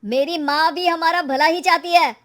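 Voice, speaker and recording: A woman's voice: very high-pitched, fairly even intonation, normal speed, fairly bright tone, voice pushed up. A woman voicing an earnest, easily exasperated young married shopkeeper in a cartoon story.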